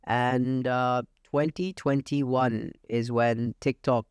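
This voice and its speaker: A man from India, a real estate agent talking as a podcast guest, confident and matter-of-fact with frequent pauses. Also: medium pitch, fairly even intonation, darkish tone, clear, resonant tone, no room sound, studio quality. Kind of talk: casual interview talk. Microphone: podcast studio mic.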